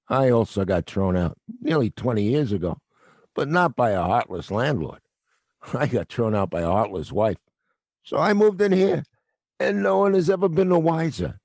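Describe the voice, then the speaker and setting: A man voices a middle-aged night watchman in an audio play, gruff on the surface and fatherly underneath. He talks in a wry, world-weary way, at a medium pitch. The sound is dry and close. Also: lively intonation, normal speed, darkish tone, no room sound. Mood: confiding.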